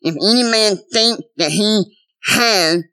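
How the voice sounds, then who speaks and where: A male pastor preaching a sermon in a conversational way, full of rhetorical questions and repeated asides to his listeners. His voice sits a little high and sounds bright and forceful. The sound is close and dry. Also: slow pace, no echo.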